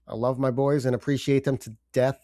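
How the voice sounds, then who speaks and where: Older male podcast host with a head cold, stuffy and low-key, dark mellow tone, dry close sound. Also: level tone, no room sound. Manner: halting, subdued, with pauses and fillers. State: congested from a cold. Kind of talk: personal confessional monologue.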